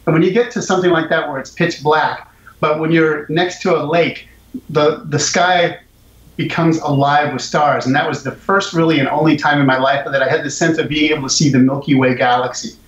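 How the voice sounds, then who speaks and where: A man's voice: fairly high pitch, a little fast. A man guest, a jazz singer, chatting easily and telling stories about his songs, chuckling at himself now and then. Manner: relaxed, storytelling, chuckles at himself. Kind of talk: casual interview chat. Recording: echoey, muffled lo-fi line.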